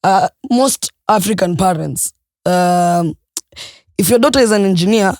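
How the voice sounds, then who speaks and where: A woman's voice: medium pitch, measured pace, darkish tone, no echo. A woman co-hosting a podcast in a studio, talking bluntly and expressively and swearing freely. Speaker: podcast co-host. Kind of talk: casual podcast chat.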